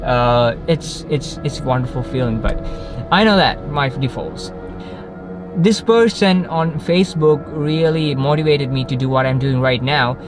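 A man's voice: mid-range voice, unhurried, poor audio. A young man from Kerala with a Malayali accent, talking unscripted to a camera in a parked car, restarting and correcting himself often. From Kerala, India. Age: young adult. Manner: rambling, frequent restarts and self-corrections. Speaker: vlogger. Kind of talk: unscripted casual talk to camera. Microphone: camera on the dashboard facing him. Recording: background music under the voice. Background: background music.

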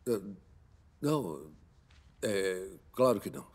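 A man's voice: sits low, very animated, darkish tone, heavily acted. A male actor with a Brazilian accent dubbing a proud, irritable middle-aged prince who stammers and speaks with stiff formality. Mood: defensive, resigned. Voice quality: stammer with halting repeated words. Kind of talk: film dubbing in Portuguese.

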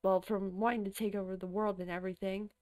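A woman hosting a reaction video. She chats casually, keeps saying "I gotta say," and bursts into loud gasps and cries of alarm. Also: medium pitch, level tone, fast. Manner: chatty, often repeats "I gotta say". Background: music from the clip she is watching.

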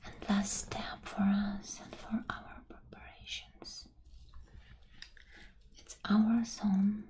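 A woman who is a native Russian speaker whispers a fantasy ASMR roleplay in hushed short phrases, close to a binaural microphone. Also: medium pitch, completely flat delivery. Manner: hushed, unhurried, gentle pauses between short phrases.

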